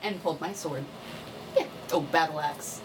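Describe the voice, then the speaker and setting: A woman's voice: deep voice, moderate pace. A woman playing in a tabletop game, chatting casually and playfully. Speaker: player. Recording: noisy, from off the mic.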